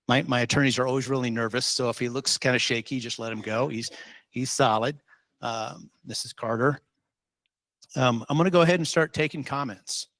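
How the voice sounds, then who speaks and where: A man's voice: medium pitch, fairly bright tone. A man from Utah, a state official leading a public meeting, talking informally with many ums and rambling asides.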